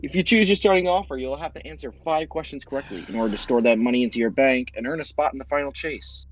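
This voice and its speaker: Brisk quiz-show host man. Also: mid-range voice, soft background music, poor audio. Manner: brisk, announcer-like delivery. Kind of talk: game show hosting.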